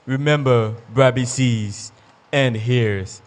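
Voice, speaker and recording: A man plays a solemn, all-seeing reality-show voice that speaks from nowhere. It drawls each question slowly and gravely. Its pitch sits in an ordinary middle range. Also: lightly acted. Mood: probing. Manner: slow, deep, commanding questions. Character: unseen male reality-show house voice, solemn.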